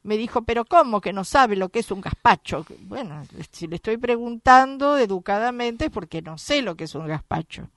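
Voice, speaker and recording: A woman's voice: a little high, animated, moderate pace, few pauses, fairly bright tone, dry recording, clean studio sound. A woman from Argentina with a Rioplatense accent, hosting a radio chat and telling anecdotes in a chatty way. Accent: Argentine Rioplatense Spanish. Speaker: radio host.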